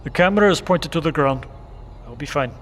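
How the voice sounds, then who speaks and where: A man's voice: fairly high pitch, lively intonation, close to an everyday voice. Audio drama role, male actor: bunker watchman, wary, stoic, formal, in a reverberant, faintly noisy space. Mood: alarmed. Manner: deliberate, formal phrasing without contractions. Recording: echoey bunker reverb with background noise.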